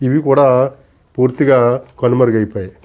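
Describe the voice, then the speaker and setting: A man giving an unscripted demonstration, explaining deliberately and politely in short, broken phrases. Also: mid-range voice, muffled recording. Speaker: antique radio collector and presenter.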